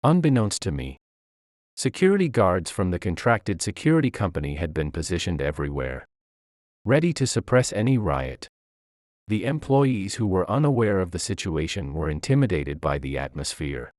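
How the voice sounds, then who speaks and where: A man's voice: deep voice, very animated, moderate pace, darkish tone, no echo, clean studio sound. A man reading a scripted first-person story as narrator.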